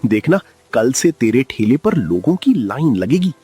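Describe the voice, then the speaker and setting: A man's voice: medium pitch, sing-song, a little slow, warm tone, slightly rough, soft background music. A man voicing a cheerful elderly shopkeeper who praises the food effusively. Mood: delighted.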